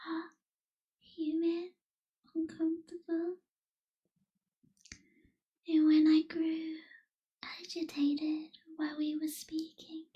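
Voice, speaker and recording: Woman as slow, very high, whispering lonely vampire girl. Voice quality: soft, breathy, whispery. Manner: slow, hushed, wistful, gentle pauses. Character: lonely, melancholy young female vampire, newly turned. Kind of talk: ASMR roleplay, soft whispered intimate delivery.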